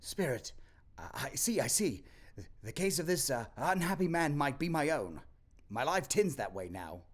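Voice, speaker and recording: A man voicing a frightened, repentant old miser in an audio play, halting and pleading. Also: a little high, big pitch swings, pitched above the performer's own voice. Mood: horrified, pleading. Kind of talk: audio play character acting.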